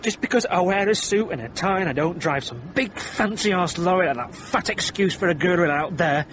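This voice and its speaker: A man's voice: sits high, lively intonation, normal speed, fairly bright tone, slight background noise. An actor playing an irritable, stubborn, panicky suit-and-tie man stranded in snow, testy and sarcastic, in a radio horror drama. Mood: angry, scornful.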